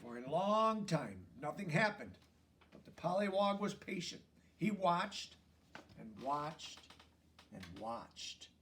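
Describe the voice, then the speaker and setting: A man reading a children's story aloud as an expressive narrator. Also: a little high, takes it slowly, distant mic, slight background noise. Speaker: storybook reader.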